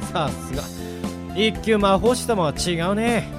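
Woman as brash young man, hot-headed older brother, rough casual speech, grumbling, swinging intonation, fantasy radio drama over music. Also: mid-range voice, lively intonation, takes it slowly. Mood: sulky.

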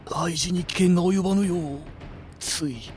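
An actor takes on a kindly old man from a radio drama. The delivery is deliberate and explanatory, full of old-timer phrasing. The pitch sits in the middle, with music clearly playing behind. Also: darkish tone, lightly acted. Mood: cautioning. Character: kindly old man speaking archaic elderly Japanese. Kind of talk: amateur radio drama acting.

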